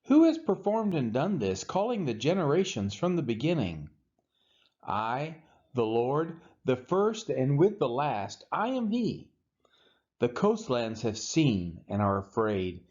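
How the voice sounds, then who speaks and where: A man reading scripture aloud in a dramatic, solemn and emphatic way, with measured pauses. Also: medium pitch, big pitch swings, darkish tone, lo-fi sound. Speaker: Bible reader.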